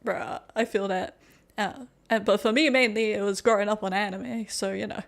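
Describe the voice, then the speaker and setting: A woman streaming a game, chatting casually with a friend in an excitable, jokey, sweary way. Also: mid-range voice, normal speed, vocal fry. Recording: music behind the voice. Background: music.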